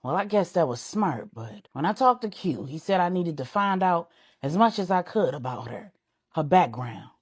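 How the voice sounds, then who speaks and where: A woman's voice: lowish voice, animated, normal speed, breathy edge, voice pushed down. A woman voicing a frustrated, demanding male crime family boss in an audiobook, blunt and streetwise. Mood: pressing. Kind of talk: audiobook character dialogue.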